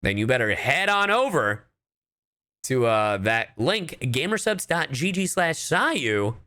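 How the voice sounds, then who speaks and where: A man livestreaming a game, chatting casually and goofily with his audience in an animated way. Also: medium pitch, slow. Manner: animated, goofy, addresses chat directly. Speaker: livestreamer, VTuber. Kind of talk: casual livestream chat while gaming.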